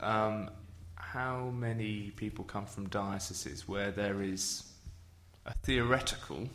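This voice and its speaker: A man chairing a church conference session, speaking in a measured, thoughtful way. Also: fairly low pitch, fairly bright tone. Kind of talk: chairing a discussion.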